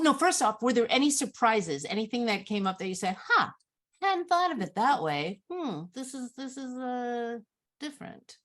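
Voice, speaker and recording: A woman moderating an online Q&A informally, thinking aloud in a halting way as she puts questions. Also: mid-range voice, fairly bright tone. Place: online video call.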